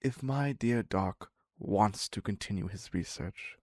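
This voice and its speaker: A man playing an obsessive, needy captor devoted to his captive in an intimate dark romance roleplay, husky and halting, often dropping into a whisper. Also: lowish voice, dry recording, studio quality. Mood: eager, devoted. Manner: halting, pleading, very slow with long pauses.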